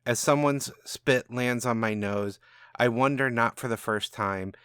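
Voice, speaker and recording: A man's voice: medium pitch, measured pace, a bit bright, smooth, no room sound, clean studio sound, natural voice. A man reading a storybook tale as a cocky, irreverent and powerful rogue wizard, brash and conspiratorial. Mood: smug, bitter. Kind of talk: first-person storybook narration.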